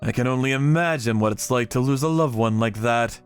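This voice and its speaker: A man's voice: mid-range voice, a little fast, clean tone, faint music behind. A man narrating a dramatic story in the first person with theatrical delivery. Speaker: narrator of a manga channel story.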